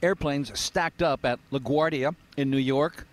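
A man's voice: a little high, measured pace. An older man with an American accent reporting as a television news correspondent on a handheld microphone. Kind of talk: live news report and scripted narration. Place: airport terminal and curbside.

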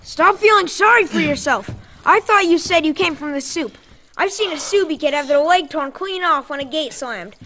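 A woman's voice: high-pitched, expressive, moderate pace, bright, forceful tone, a little hiss or hum. A woman playing a stubborn, earnest young boy in a post-apocalyptic audio drama, urgent and blunt.

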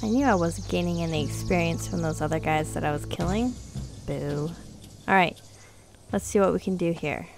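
A woman's voice: lowish voice, moderate pace, gravelly. A woman hosting a gaming video, giving casual commentary as she plays. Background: game music.

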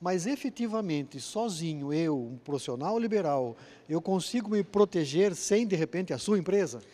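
A man in his fifties hosts the interview, holding a handheld mic in a large indoor event hall. His voice sits slightly high, and he builds long, conversational questions before handing over. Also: expressive, normal speed, warm tone. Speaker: presenter.

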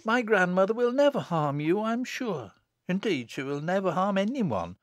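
A man voicing a gentle, kindly young woman, an elder sister soothing others, in an audiobook. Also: sits high, very animated, normal speed, no room sound, clean studio sound, voice pushed up. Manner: gentle, reassuring. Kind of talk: audiobook character acting.

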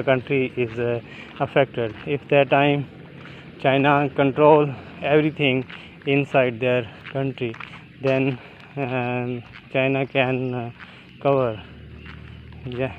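A man with a Pakistani accent talking to viewers in a halting, hesitant way, in short broken phrases, as he vlogs outdoors on a walk. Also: medium pitch. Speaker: vlogger. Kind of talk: casual walk-and-talk monologue to viewers. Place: outdoors, walking on university grounds. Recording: muffled outdoor audio with clear noise.

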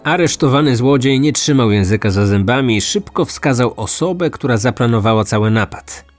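A man's voice: mid-range voice, expressive, fairly quick. A man narrating a scripted true-crime podcast as its host. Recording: music under the voice. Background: background music.

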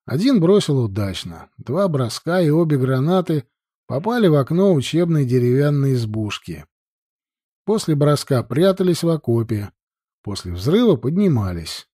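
A man narrating a military action audiobook in a measured, dramatic way, phrase by phrase. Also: medium pitch, mellow, husky, no echo, clean studio sound.

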